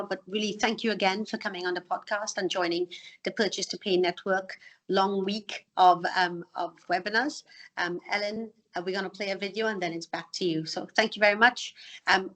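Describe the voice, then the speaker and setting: A woman's voice: medium pitch, fairly bright tone. A woman hosting a podcast interview, speaking with frequent um fillers. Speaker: podcast host, charity trustee. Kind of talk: conversational interview.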